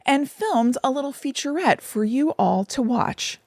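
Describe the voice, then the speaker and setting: A woman's voice: sits high, lively intonation, unhurried, darkish tone, no echo, clean studio sound. A woman with an American accent co-hosting a podcast, chatting casually and agreeably about film in an upbeat way. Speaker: podcast co-host.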